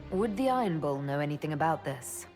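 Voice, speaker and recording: A woman's voice: low voice, lively intonation, a little fast, darkish tone, soft background music. An actress playing a dry-witted, commanding woman leader of adventurers in a fantasy game, decisive in manner. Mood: brisk, concerned. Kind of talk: dramatic fantasy game acting.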